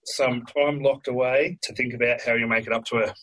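A man's voice: mid-range voice, fairly even intonation, fairly quick, a bit bright, poor audio. A man co-hosting, reading out light trivia in a conversational setting. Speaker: co-host.

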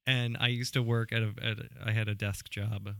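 A man hosts a casual music podcast in a low voice with plenty of vocal fry. He talks conversationally, often rephrasing himself. The sound is close and dry. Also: flat delivery, brisk, a bit bright, no room sound. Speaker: podcast host interviewing a guest.

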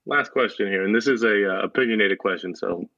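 A man playing a cocky, creepy company executive running a deadpan internship interview in an improvised comedy podcast. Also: fairly low pitch, fairly quick, dry recording, lo-fi sound, voice pushed down. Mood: deadpan, officious.

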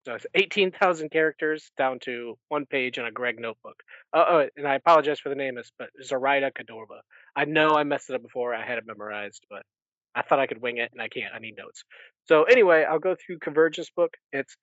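Male podcast co-host, mid-pitched, rambling and self-correcting, close dry lo-fi recording. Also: brisk, fairly bright tone, dry recording. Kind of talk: casual book recap chat.

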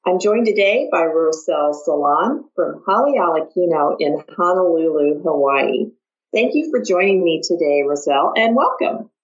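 A woman's voice: on the low side, lively intonation, moderate pace, dull audio. A woman from the American Midwest with an American accent, hosting a conversational podcast interview. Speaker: podcast host.

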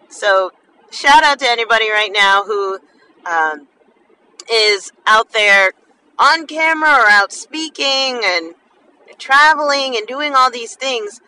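A woman hosting a podcast in a casual, expressive monologue, with a slight lisp and slurred enunciation from a half-numb mouth. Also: fairly high pitch. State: half the mouth numb after dental work. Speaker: podcast host. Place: inside a car while driving. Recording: distant mic, faint vehicle noise. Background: faint car driving noise.

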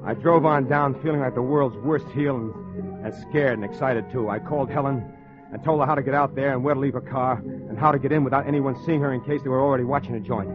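Male actor for a shifty, guilt-ridden husband: quick, hesitant speech, vintage radio suspense, dull sound. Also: medium pitch, over music, lightly acted. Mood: confessional, uneasy. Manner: hesitant, stammering when questioned. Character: nervous, evasive scheming husband with a guilty conscience. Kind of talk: 1940s radio drama acting with first-person narration. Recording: dull old radio recording.

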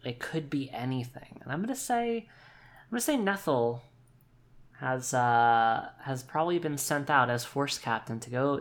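A man's voice: medium pitch, fairly bright tone. A man improvising casually in a tabletop game, thinking aloud and repeating phrases as he goes. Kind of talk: casual improvised tabletop role-play chat.

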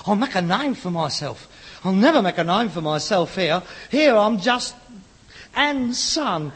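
A man's voice: high voice, very animated, unhurried, fairly bright tone, breathy edge, exaggerated voice. An actor playing a frustrated, pretentious rag-and-bone man of about forty with a working-class London accent, exasperated, grandiose and sarcastic in a sitcom. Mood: defiant. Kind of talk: scripted sitcom acting. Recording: reverberant hall, old sitcom recording.

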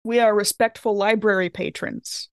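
A woman's voice: medium pitch, fairly even intonation, normal speed, no room sound, studio quality. A woman chatting informally as a player in a tabletop role-playing game, her talk peppered with "like". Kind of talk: casual chat during a game.

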